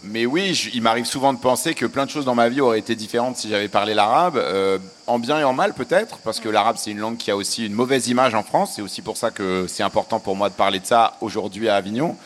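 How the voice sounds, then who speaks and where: Middle-aged guy answering interview questions, thoughtful and a bit self-mocking, lowish voice, echoey with faint hum. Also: quick pace, hardly any pauses. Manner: reflective, self-deprecating, laughs lightly. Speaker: interview guest, journalist and author.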